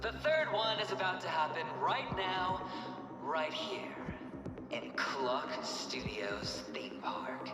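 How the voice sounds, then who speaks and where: A flamboyant gambler villain from a video game, taunting and grandiose. His fairly high voice swings wildly and lingers over words. It rings through a long, echoing hall over loud music. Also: exaggerated voice. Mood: menacing, gleeful. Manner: theatrical, grandiose, drawn-out delivery. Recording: echoey hall with long reverb.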